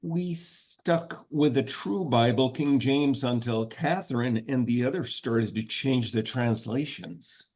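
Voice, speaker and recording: Pompous churchy guy played by a man, really slow talker, husky, formal. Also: medium pitch, darkish tone. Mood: indignant, pompous. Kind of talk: comedy script table read.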